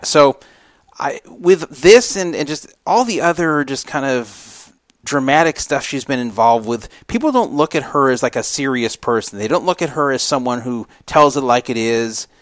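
A man with an average-pitched voice talks like an opinionated radio host. He circles back over his points in a rambling, emphatic way, stressing them by repetition. The sound is dry, with little room around it. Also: a little slow, dry recording. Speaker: podcast host. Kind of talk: casual opinionated radio talk commentary.

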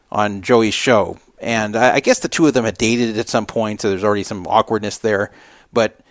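A man's voice: medium pitch, dry recording, clean studio sound. A man hosting a casual talk show, giving rambling, opinionated commentary and repeating himself for emphasis. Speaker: podcast host.

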